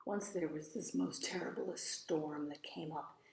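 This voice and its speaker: A woman's voice: deep voice, steady tone, unhurried, darkish tone. A woman reading as a precocious, self-assured little rich girl in a storybook, matter-of-fact and haughty with dramatic flourishes. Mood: dramatic, confiding. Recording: roomy, echoey voice recording.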